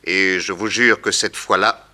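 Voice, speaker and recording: An actor playing a confident, shrewd detective in a 1960s French radio crime serial, assured, wry and commanding. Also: male voice, sits low, fairly even intonation, unhurried, pushed and bright, full character voice. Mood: wry, triumphant.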